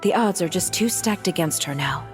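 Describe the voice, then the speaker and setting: A woman's voice: on the low side, expressive, moderate pace, music in the background, lightly acted. A woman voicing a resigned onlooker at a trial in a video game. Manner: sighing, resigned. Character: resigned female courtroom onlooker.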